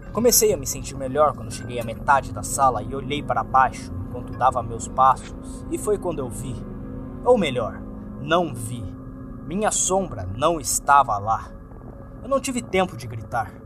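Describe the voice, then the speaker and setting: A man with a Brazilian accent narrating a scripted first-person horror story in a dramatic, suspenseful way. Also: on the high side. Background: background music.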